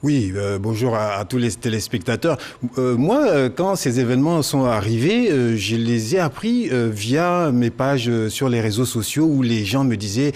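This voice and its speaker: Brief: young man from Niger, earnest, halting, studio interview guest. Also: medium pitch, lively intonation, darkish tone. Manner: hesitant, many fillers and restarts, earnest. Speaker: comedian guest.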